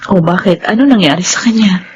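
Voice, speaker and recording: An actress playing a caring middle-aged wife and mother in a radio drama, speaking with gentle concern. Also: medium pitch, fairly quick, slightly rough, dull audio. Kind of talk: classic radio drama acting.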